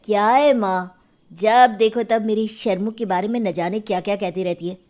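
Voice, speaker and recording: A woman's voice: mid-range voice, steady tone, normal speed, a little mellow, natural voice. A woman voicing a doting young husband in a storybook tale, whiny and protective as he protests. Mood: protesting.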